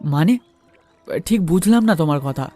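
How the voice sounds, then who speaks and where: A man's voice: fairly high pitch, lively intonation, moderate pace, dark tone, soft background music. A man narrating a first-person horror story and acting out its dialogue.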